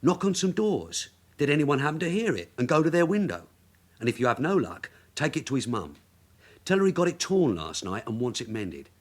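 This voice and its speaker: Shrewd older detective in a radio play, dry n sardonic, very animated pitch. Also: male voice, fairly quick. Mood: sardonic, directing. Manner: dryly sardonic, probing questions. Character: shrewd veteran male detective sergeant, dry and wily. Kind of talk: radio drama acting.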